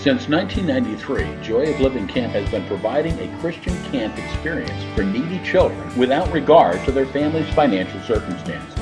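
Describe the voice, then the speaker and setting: A man reading a scripted radio charity appeal as an organisation's director. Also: medium pitch. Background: music.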